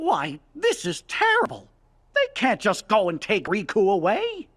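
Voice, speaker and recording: An actor playing a small, gentle, courtly male cartoon adviser in a game, with a worried, polite, folksy way of talking. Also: medium pitch, wildly swooping pitch, measured pace, heavily acted. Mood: alarmed. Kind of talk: animated game cutscene acting.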